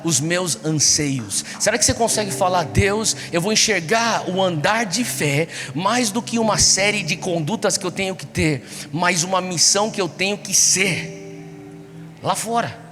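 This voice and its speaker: A man's voice: high-pitched, measured pace, a bit bright. A Brazilian man preaching to a young congregation, exhorting them with repeated rhetorical questions. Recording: echoey large hall, music behind. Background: music.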